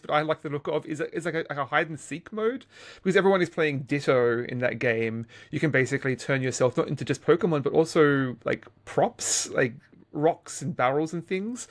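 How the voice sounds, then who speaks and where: Man chatting casually, halting delivery. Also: fairly high pitch. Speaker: podcast host. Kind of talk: casual gaming podcast chat.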